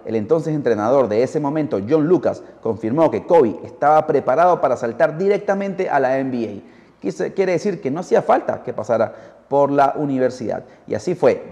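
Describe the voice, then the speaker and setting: A male podcast host tells a scripted story in a dark, mellow voice of medium pitch. His delivery is dramatic and speaks straight to the listener. A long reverb hangs on the recording. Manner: dramatic, addresses the listener with rhetorical questions.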